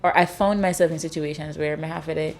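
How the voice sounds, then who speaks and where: A Jamaican woman in her thirties chats casually and tells lively stories. She acts out other people's lines in sudden high voices. Her voice sits a little low for a woman. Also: a little fast, soft background music. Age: 30s. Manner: animated storyteller, acts out dialogue in high voices. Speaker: host. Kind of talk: casual chat.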